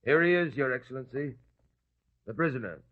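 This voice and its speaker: A man's voice: medium pitch, lively intonation, a little fast, close to an everyday voice. A man voicing an obedient palace guard who barks orders at crowds, in an old-time radio drama. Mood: deferential. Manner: barking orders, deferential to superiors. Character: loud male palace guard, brisk and obedient. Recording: tinny phone-like lo-fi recording.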